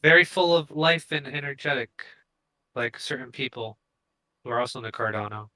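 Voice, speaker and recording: A man's voice: medium pitch, a little fast, sharp tone, low-quality recording. A man co-hosting a show, talking in casual podcast conversation. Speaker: co-host of a cryptocurrency show.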